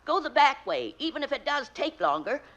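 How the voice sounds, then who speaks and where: An actress playing a chatty, nosy drive-in proprietress with folksy, rambling talk in a 1950s radio drama. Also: female voice, sits high, sing-song, fairly quick, fairly bright tone, lo-fi sound. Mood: chatty, excitable. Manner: gossipy, rambling, homespun grammar.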